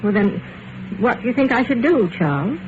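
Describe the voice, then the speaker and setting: Trusting heiress on muffled old radio. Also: female voice, fairly high pitch, sing-song, normal speed. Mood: uncertain. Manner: earnest, hesitant, soft-hearted. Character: trusting, gentle, lonely wealthy heiress. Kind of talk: old-time radio mystery acting. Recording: dull, noisy vintage radio recording.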